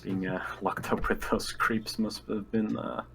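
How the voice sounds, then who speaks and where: Some background noise sits under a man's low voice. He is a player in a tabletop game, speaking casually as he acts and describes his character. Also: fairly even intonation, unhurried, vocal fry, a bit bright.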